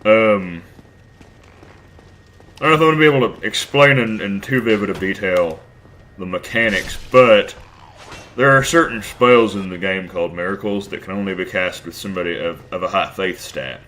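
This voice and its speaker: A man's voice: sits low, moderate pace, pushed and bright, slight background noise, poor audio. A man commenting casually on his gameplay, rambling, crude and self-deprecating, a bit stuffed up from a cold. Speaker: let's play host.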